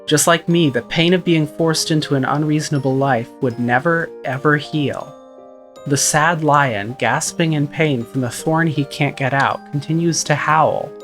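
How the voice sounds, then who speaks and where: A performer voicing a young female character who narrates in a quiet, measured first-person style, with faint music behind. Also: mid-range voice, lightly acted. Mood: somber. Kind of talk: visual novel narration.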